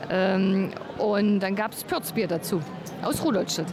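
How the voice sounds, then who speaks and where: A woman's voice: mid-range voice, a little slow, a crowd in the background. A woman interviewed at a festival, talking in a homely way with hesitations. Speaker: festival visitor. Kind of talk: street interview.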